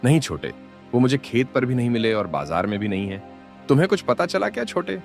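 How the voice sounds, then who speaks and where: A greedy, impatient grown son played by a man in a cartoon tale. His pitch moves in lively swings over faint music. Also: a little fast, gravelly. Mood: serious. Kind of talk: Hindi cartoon moral story dialogue.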